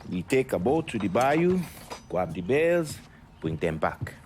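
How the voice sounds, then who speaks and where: A man playing a bitter, defiant Haitian smuggler and former priest in a video game, with a Haitian accent and a mocking, guarded, deliberate delivery. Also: on the low side, very animated, a little mellow, a little hiss or hum. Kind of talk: video game cutscene dialogue.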